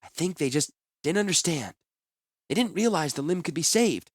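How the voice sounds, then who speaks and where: A man's voice: a little high, expressive, normal speed, dry recording, studio quality, pitched above the performer's own voice. A man voicing an earnest, compassionate female healer who pleads and implores. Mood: imploring.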